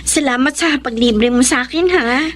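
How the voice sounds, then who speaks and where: Modest, high-voiced college girl played by a woman. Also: normal speed, a little hiss or hum, natural voice. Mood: bashful, grateful. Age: young adult. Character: modest, agreeable female college student. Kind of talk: Filipino radio drama acting.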